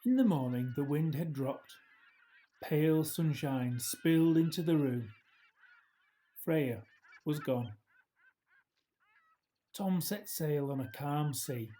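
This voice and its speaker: A man reading a children's story aloud in a gentle, expressive way, with hushed dramatic drops. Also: fairly high pitch, slow pace, long pauses. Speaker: storybook reader. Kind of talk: scripted children's story narration, read aloud.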